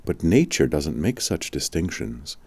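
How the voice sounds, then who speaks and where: Male narrator for spiritual audiobook, extremely low voice, measured delivery, dry close sound. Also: very animated, mellow, slightly rough, no room sound. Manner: measured, deliberate. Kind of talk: scripted narration of spiritual lessons.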